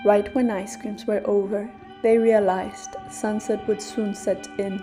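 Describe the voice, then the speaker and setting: Soft-spoken woman narrating a bedtime story. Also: medium pitch, little pitch movement. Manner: soft, slow, soothing. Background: soft guitar music.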